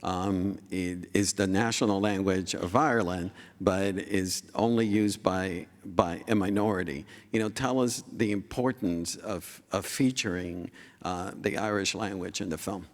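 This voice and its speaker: A man moderating a festival panel, asking questions in a measured way with pauses. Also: low voice. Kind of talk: interview questions. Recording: long hall reverb.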